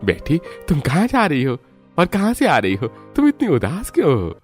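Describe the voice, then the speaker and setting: Casting: male performer as cartoon truck-driving farm uncle, honest, earnest, slightly high voice, very lively melody. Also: normal speed, faint music behind. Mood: grateful, kindly. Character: honest, protesting farmhouse vegetable-truck driver uncle.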